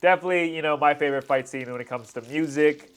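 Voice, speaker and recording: A man's voice: on the high side, quick pace, smooth. A man hosting a reaction video, commenting on fight scenes in casual slang with hyped exclamations. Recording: music behind the voice.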